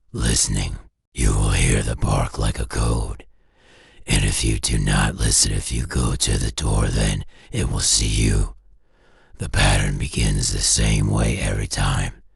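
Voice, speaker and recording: A man narrating a scripted horror story in an ominous, deliberate way, with a gravelly, husky voice and short clipped phrases. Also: very deep voice, dry recording, clean studio sound. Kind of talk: scripted analog horror narration, second-person.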